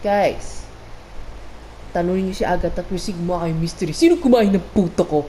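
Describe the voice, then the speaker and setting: A woman's voice: on the low side, a little fast, mellow, slight background noise, low-quality recording. A woman with a Filipino accent giving playful, rambling, casual game commentary. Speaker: game streamer. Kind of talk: casual gameplay commentary mixing Tagalog and English.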